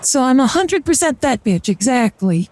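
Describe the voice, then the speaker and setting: Mellow-voiced joking woman streamer. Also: high voice, sing-song, moderate pace, slight background noise. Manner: rambling and joking, with fillers and short laughs. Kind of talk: casual livestream chat during a card reading.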